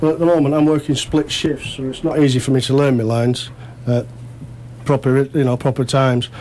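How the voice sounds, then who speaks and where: A man's voice: medium pitch, level tone, brisk, darkish tone, a little hiss or hum. A man chatting casually as a radio interview guest, modest and self-deprecating. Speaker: amateur actor interview guest.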